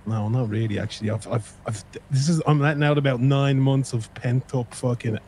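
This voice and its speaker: A man's voice: medium pitch, fairly even intonation, fairly quick, a little mellow, slight background noise. A man in his thirties on a headset mic, teasing a friend in a put-on Irish accent and swearing freely. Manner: puts on a fake Irish accent, then a mock American one. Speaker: streamer playing a roleplay character. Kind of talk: casual banter over voice chat.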